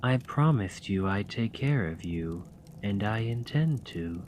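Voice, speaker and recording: A man voicing a doting, teasing male dragon lover in an ASMR roleplay, talking softly and coaxingly. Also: on the low side, lively intonation, measured pace, slight background noise, low-quality recording. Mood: tender. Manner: soft, playful and coaxing.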